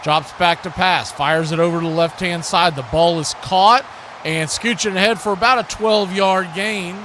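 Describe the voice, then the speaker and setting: A man's voice: sits high, fairly even intonation, normal speed, background noise. A man hosting a live football stream, mixing play-by-play commentary with casual chat in folksy, colloquial phrasing.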